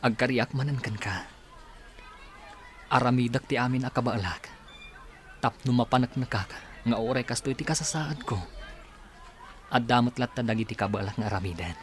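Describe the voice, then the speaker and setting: Male actor as humble, tender Ilocano lover in a radio-style drama. Earnest, courteous, medium pitch, light background noise. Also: normal speed, pauses often, natural voice. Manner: polite, earnest. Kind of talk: Ilocano audio drama acting.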